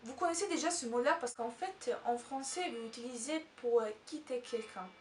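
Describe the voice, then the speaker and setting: A woman's voice: a little high, normal speed, a little hiss or hum. A woman from Italy whose first language is Italian, teaching in French with an Italian accent. She talks casually and hesitantly, with many filler sounds and restarts. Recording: echoey room, mic at a distance.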